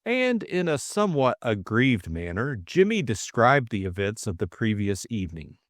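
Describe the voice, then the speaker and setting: A man narrating a scripted story as an audiobook, measured and expressive. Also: on the low side, no room sound, studio quality.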